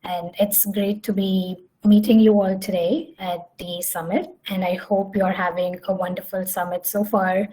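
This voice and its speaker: A woman's voice: mid-range voice, flat delivery, moderate pace, hardly any pauses, mellow, poor audio. A woman, giving an online conference presentation with many fillers and restarts. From Hyderabad, India. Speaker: summit speaker.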